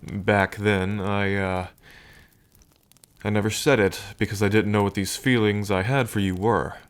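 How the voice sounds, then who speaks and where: A man playing a smitten, teasing dragon king in an intimate ASMR roleplay, with unhurried, murmuring pauses. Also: fairly low pitch, fairly even intonation, clean tone, lightly acted. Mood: tender, teasing. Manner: unhurried, murmuring pauses, hums and soft chuckles.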